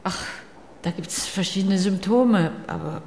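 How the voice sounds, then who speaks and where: An actress playing a composed, wry patient in a radio drama, polite and understated with a stranger. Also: female voice, mid-range voice, measured pace, darkish tone, close to an everyday voice. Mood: wry, friendly. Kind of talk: naturalistic German radio drama. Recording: echoey room with clear background noise.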